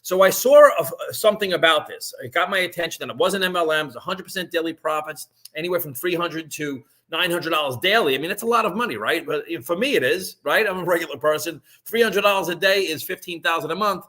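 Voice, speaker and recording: A man, talking casually on a live stream and pitching an online business. He rambles, restarts often and keeps checking in with "right?". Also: fast, few pauses, a bit bright. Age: 50s.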